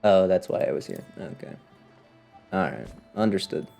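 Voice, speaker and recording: A man's voice: deep voice, big pitch swings, normal speed, vocal fry, dark tone. Wry man streaming a game over music. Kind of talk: casual live gameplay commentary.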